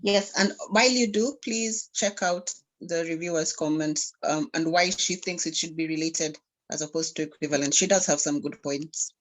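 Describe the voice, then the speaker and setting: A woman's voice: sits low, moderate pace. A woman from Kenya, not a native English speaker, explaining her word choice tentatively on a video call. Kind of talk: casual meeting discussion.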